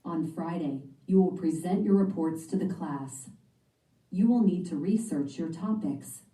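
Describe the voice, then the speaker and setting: Kind storybook schoolteacher, read by a woman, low mellow voice, clear instructions, roomy distant sound.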